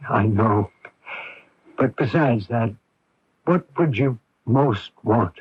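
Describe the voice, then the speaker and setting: Plain-spoken middle-aged dad, earnest and worried, slow delivery, slightly rough husky voice, 1980s radio play on lo-fi AM. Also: male voice, mid-range voice, lively intonation, no room sound, exaggerated voice. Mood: tender, coaxing. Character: devoted, anxious, simple-minded father of ailing daughter. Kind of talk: 1980s radio drama acting with first-person narration. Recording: dull lo-fi AM radio recording.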